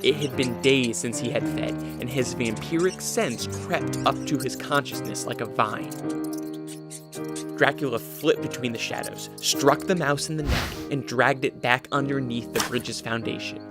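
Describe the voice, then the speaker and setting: Male actor as brooding vampire narrator, radio drama, mid-pitched, measured, over a prominent score. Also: natural voice. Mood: solemn narrating. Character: Dracula, uneasy, irritable male vampire, also narrator. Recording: music bed behind the voice.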